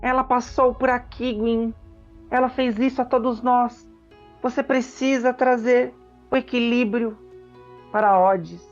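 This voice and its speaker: A man's voice: very high voice, steady tone, a little slow, dull audio, faint music behind, voice pushed up. A man voicing a squirrel spirit with a solemn, imploring way of speaking in a fantasy tabletop game. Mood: solemn, pleading. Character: desperate squirrel spirit delivering a solemn plea.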